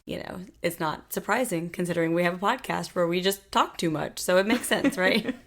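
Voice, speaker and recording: Slightly hoarse woman podcaster. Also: lowish voice, fairly quick. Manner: chatty, laughs often, uses fillers like "um" and "like". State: slightly hoarse, losing her voice. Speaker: podcast co-host. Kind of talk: casual chat. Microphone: home recording setup.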